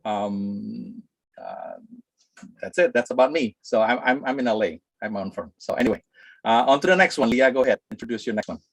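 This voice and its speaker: A man talks at a middle pitch, stalling often on fillers and repeated words as he describes his work. The sound is dry and close with no room echo. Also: very animated, gravelly, slightly rough. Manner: halting, full of fillers and restarts. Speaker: attorney. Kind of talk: casual self-introduction at online workshop. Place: Los Angeles.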